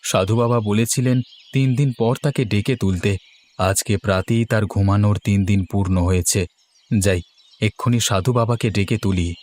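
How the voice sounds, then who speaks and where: A man's voice: lowish voice, normal speed, darkish tone, lightly acted. A man playing a devout, anxious king and father in a fairy-tale cartoon, with a theatrical, deferential and pleading manner. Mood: reverent, eager.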